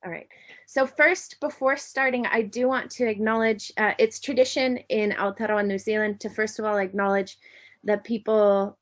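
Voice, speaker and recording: A woman's voice: medium pitch, fairly even intonation, normal speed. A middle-aged American woman giving an online academic presentation over a video call in a friendly way, with frequent ums and self-corrections. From United States. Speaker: university lecturer.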